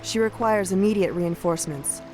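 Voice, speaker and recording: A woman's voice: on the low side, normal speed, darkish tone, soft background music, lightly acted. A woman playing a loyal, earnest young elven messenger, speaking formally in a video game. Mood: earnest, urgent. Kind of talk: dramatic video game cutscene acting.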